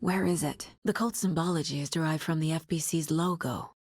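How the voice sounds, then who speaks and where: An actress playing a determined woman detective in a video game, speaking in a serious, focused, terse way with a soft, husky voice. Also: sits low, a little fast, dry recording, studio quality. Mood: focused, resolute. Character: determined woman detective investigating supernatural cult murders. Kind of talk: video game character lines, investigative inner monologue.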